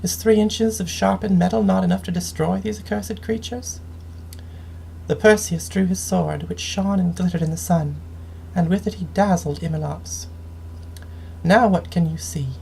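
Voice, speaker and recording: A woman game streamer reading story texts aloud in a measured, dramatic storytelling manner, with noticeable noise in the recording. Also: lowish voice, mellow, poor audio. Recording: clearly audible background noise.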